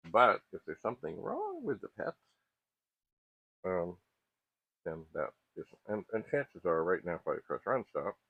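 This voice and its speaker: A man with a very low, husky voice thinks aloud in casual, hands-on tech commentary. He speaks haltingly and often questions himself. The recording is dry and muffled, with a thin phone-like band. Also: no echo. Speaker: host of a vintage computer repair channel.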